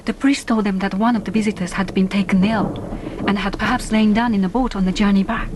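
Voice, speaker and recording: A woman playing a gentle, devoted young Japanese islander in a radio drama, earnest and formal in her phrasing. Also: medium pitch, steady tone, fairly quick, background noise, lightly acted. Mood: grave, concerned. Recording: music bed under voice. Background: orchestral film score.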